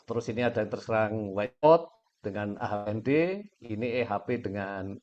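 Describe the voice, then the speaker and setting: Hoarse man, measured presenter, dull lo-fi call audio. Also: lowish voice, animated, darkish tone. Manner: measured, many pauses and fillers. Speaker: guest speaker, aquaculture director. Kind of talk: webinar presentation. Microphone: video call.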